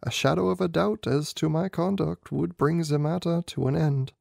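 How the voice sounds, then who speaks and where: A man's voice: a little high, normal speed, creaky, a little mellow, dry recording, clean studio sound. A man voicing an anxious, proud young king in a storybook reading, solemn and emphatic. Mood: grave. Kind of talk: amateur audiobook character reading.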